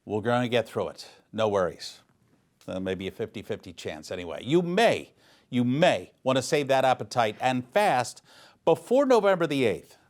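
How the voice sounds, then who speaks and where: A man's voice: medium pitch, big pitch swings, normal speed. A man anchoring local news, reading scripted stories in a playful, mock-dramatic way. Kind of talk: scripted news narration with light humor.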